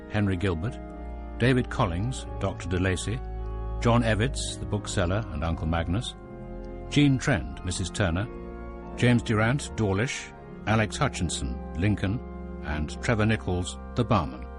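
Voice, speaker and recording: Formal announcer for credits; very low voice over music. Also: male voice. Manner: formal, even. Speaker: radio announcer. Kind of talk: reading closing credits.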